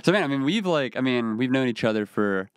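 A man hosting a casual podcast interview, relaxed and laughing easily, with lots of fillers as he asks questions. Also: medium pitch, brisk, smooth, no echo. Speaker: podcast host.

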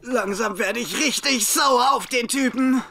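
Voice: mid-range voice, unhurried, heavy background noise, natural voice. An actor in an anime fan dub voicing a cocky, hot-headed teenage boy fighter who brags. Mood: angry. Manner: bragging, hot-headed shouting. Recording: loud music under the voice.

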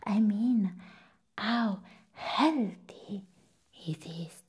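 A young woman doing an ASMR roleplay as an eccentric vampire nurse, whispering breathily in slow, drawn-out phrases with soft hums, close to the microphone. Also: mid-range voice, husky. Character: eccentric, playful female vampire nurse, hungry for blood. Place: small indoor room set up as a clinic.

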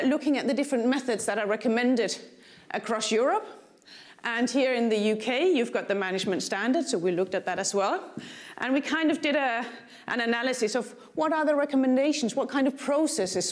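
A middle-aged woman with a Danish accent, a native Danish speaker, gives a keynote lecture in a large conference hall on a lapel mic, with dry humor and questions for the audience. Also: a little high. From Denmark. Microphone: lapel mic, walking the stage.

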